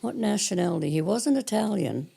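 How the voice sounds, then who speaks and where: An older woman from Cape Breton, a retired 911 dispatcher, telling detailed stories matter-of-factly in a hoarse, raspy voice. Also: medium pitch, expressive, brisk, dark tone. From Cape Breton Island, Canada. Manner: matter-of-fact, detailed recollection. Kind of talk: casual storytelling interview.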